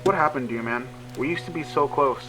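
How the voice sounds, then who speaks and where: A man's voice: medium pitch, quick pace, music in the background, close to an everyday voice, poor audio. A man playing a stern, dutiful guard in a sci-fi audio drama, terse and defensive with his old friend. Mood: defensive, firm. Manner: terse, defensive, plain-spoken.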